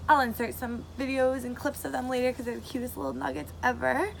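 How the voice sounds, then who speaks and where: A woman's voice: high voice, little pitch movement, fast, vocal fry, a little hiss or hum. A bubbly 22-year-old woman, chatting eagerly to camera in a casual audition video. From Massachusetts, USA. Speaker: reality show applicant.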